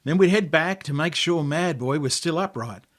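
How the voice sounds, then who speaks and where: An Australian man reading a story as dramatic narration, in measured, poetic phrases with deliberate pauses. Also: fairly high pitch. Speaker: author reading own story.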